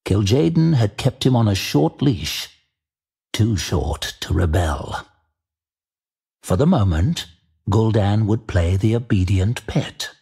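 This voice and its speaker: A man reading dramatic scripted fantasy narration as an audiobook narrator, measured and deliberate. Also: fairly low pitch, big pitch swings, creaky, warm tone, breathy edge.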